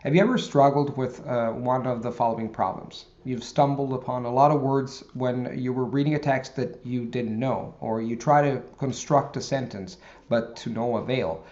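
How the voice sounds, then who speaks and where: A man's voice: medium pitch, steady tone, darkish tone, highs cut off. Man teaching vocabulary tips. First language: Italian. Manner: talks straight to viewers, measured explaining with pauses. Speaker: language teacher. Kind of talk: video lesson to camera.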